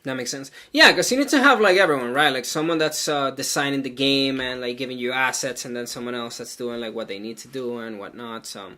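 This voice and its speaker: Bright, chatty man coding live. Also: medium pitch, fairly quick, hardly any pauses. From Venezuela. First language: Spanish. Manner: chatty and loose, full of "like" and "um", thinks aloud while coding. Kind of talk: casual live coding stream, chatting with viewers.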